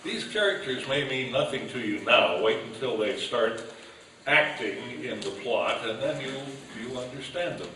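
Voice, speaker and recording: A man acts as master of ceremonies, making loose, unscripted introductions from a stage with self-deprecating jokes. His voice is picked up at a distance and swims in heavy room echo. Loud noise runs through the whole recording. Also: medium pitch, animated, normal speed. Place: live on stage at an old-time radio event. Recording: very echoey, noisy hall, distant mic.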